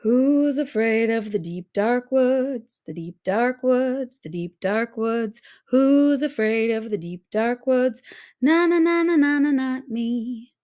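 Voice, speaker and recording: A woman's voice: fairly high pitch, lively intonation, slow, darkish tone. A woman voicing a brave, self-important little girl who plays at being a superhero in a storybook. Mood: singsong bravado. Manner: bold, self-important, declaiming. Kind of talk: storybook read-aloud character.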